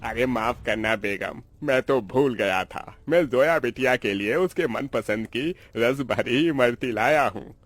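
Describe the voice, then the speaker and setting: A man's voice: medium pitch, expressive, measured pace, few pauses, no room sound. Man acting a kindly, doting village uncle. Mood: gentle, affectionate. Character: kindly, doting middle-aged uncle, poor farmer. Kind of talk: Hindi cartoon story dialogue.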